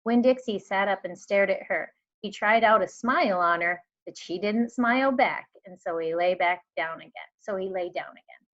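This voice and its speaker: A woman reading a children's novel aloud in an expressive, measured way, acting out the dialogue. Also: medium pitch. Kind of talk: expressive storybook read-aloud. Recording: dull lo-fi recording.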